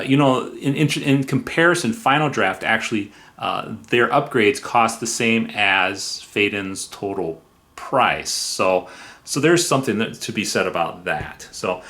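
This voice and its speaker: Low-voiced man giving a casual review. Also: sharp tone. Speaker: software reviewer and video host. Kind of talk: casual review narration with playful asides.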